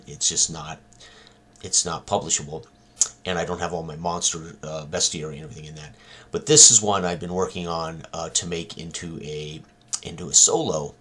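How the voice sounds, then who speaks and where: A man with an extremely low, husky voice talks informally as a game designer presenting his work. His delivery is halting and rambling, with long hesitations between phrases. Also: fairly bright tone. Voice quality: husky, very deep. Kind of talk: informal rambling product talk.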